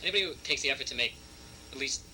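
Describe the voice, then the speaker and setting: A man in casual, joking banter, recorded with some noise. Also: mid-range voice. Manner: joking, quick back-and-forth.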